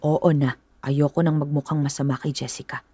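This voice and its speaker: A woman's voice: low-pitched, level tone, moderate pace, background noise, natural voice. An actress playing a tired middle-aged mother who complains about an extra person in the house, in a radio drama. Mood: complaining. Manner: complaining, weary. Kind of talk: Filipino radio drama acting.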